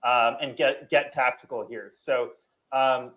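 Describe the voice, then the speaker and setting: A man's voice: mid-range voice, fairly even intonation, unhurried, fairly bright tone, rough recording. A young man casually moderating a panel discussion on a video call. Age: young adult. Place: home room, video call.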